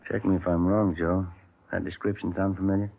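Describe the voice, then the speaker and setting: An actor playing an easygoing police sergeant who asks questions plainly, in a 1950s radio drama. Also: male voice, low-pitched, flat delivery, a little fast, vocal fry. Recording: tinny old radio broadcast.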